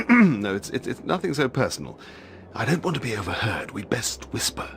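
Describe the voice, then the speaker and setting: An actor playing a stuffy gentleman with hidden menace in a video game, formal and furtive, with a creaky voice. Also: medium pitch, sing-song, moderate pace, background noise. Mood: nervous, secretive. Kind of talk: video game cutscene acting.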